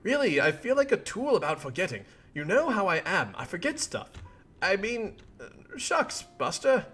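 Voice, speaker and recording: A man's voice: sits high, big pitch swings, moderate pace, fairly bright tone, breathy edge, full character voice. A man voicing a cheerful, oblivious young male adventurer who rambles earnestly in wordy, folksy old-fashioned slang. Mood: placating, sheepish.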